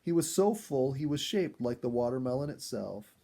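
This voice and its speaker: A man reading a children's story aloud as an expressive storyteller in scripted narration. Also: mid-range voice, moderate pace, gravelly.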